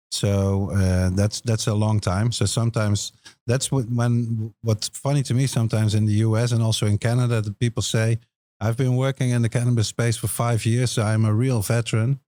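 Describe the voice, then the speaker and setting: Man, Dutch accent, middle-aged, warm dark voice, dry close mic, hesitant casual chat. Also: fairly low pitch, dry recording. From Netherlands. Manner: unhurried, hesitant with many "uh" fillers. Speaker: podcast co-host.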